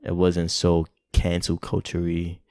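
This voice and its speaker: A man's voice: low-pitched, completely flat delivery, a little slow, darkish tone, no room sound, clean studio sound. A young man co-hosting a podcast in casual chat, laid-back and hesitant, with many fillers. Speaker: podcast co-host.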